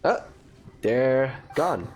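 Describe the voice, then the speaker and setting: A man giving casual let's play commentary, talking haltingly as he thinks aloud and adds wry asides. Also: medium pitch, lively intonation, a little mellow, slight background noise. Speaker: gaming YouTuber. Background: game music.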